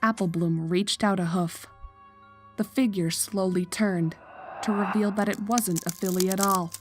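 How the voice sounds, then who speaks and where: A woman's voice: mid-range voice, steady tone, unhurried, faint music behind. A woman narrator reading a scripted horror story.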